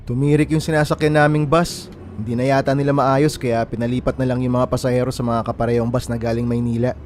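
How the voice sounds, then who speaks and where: A man's voice: mid-range voice, level tone, quick pace, a little mellow, a little hiss or hum, lightly acted. A man with a Filipino accent playing a friendly, candid young bachelor in casual radio drama dialogue. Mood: friendly, eager. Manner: casual, conversational.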